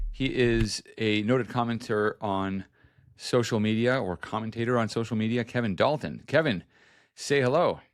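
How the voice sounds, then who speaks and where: A man with a fairly low voice hosts a podcast, running through news updates in a relaxed, conversational way. He corrects himself as he goes and keeps the talk informal. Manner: conversational, self-correcting, chatty. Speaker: podcast host and journalist. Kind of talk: casual news chat.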